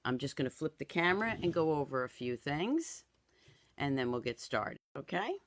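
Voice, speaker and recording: A woman in her fifties, hoarse and raspy from a cold, chatting through a live craft demo and greeting viewers by name in a home craft room. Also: deep voice, big pitch swings, moderate pace. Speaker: craft product demonstrator. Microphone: camera in front, then overhead camera.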